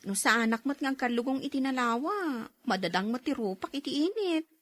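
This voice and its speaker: Persistent young-spirited female peddler, very high voice, Ilocano accent, dry studio radio drama. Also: animated, fairly quick, fairly bright tone, no room sound. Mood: easygoing, tired. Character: hardworking single woman street vendor, cheerful and persistent.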